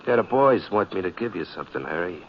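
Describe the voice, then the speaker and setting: Casting: deep-voiced mob henchman, casual menace, 1940s radio drama, noisy muffled recording. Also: animated, fast talker. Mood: quiet menace.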